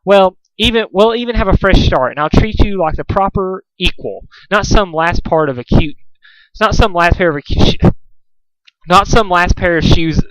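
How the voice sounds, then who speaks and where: A man's voice: high voice, animated, moderate pace, fairly bright tone, dry recording, muffled recording, pitched above the performer's own voice. A man voicing a prim, dramatic female unicorn fashionista in a story reading, with theatrical, haughty delivery. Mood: sugary, coaxing.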